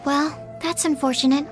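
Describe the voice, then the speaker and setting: A woman voicing a polite, studious young researcher in a video game, composed and articulate. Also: high-pitched. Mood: composed, let down. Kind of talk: video game character acting.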